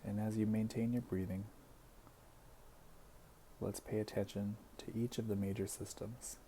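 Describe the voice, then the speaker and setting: Male meditation guide, dark mellow tone, creaky low register, gentle instructional delivery, deliberate pauses. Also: little pitch movement, a little hiss or hum. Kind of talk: guided body scan meditation.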